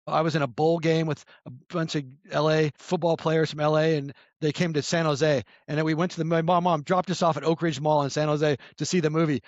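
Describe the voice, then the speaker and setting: Raspy guy in his 50s, talks super fast, keeps restarting his sentences, kinda muffled audio. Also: fairly high pitch, flat delivery, no room sound. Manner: rambling, many restarts and self-corrections. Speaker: podcast guest. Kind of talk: casual storytelling chat.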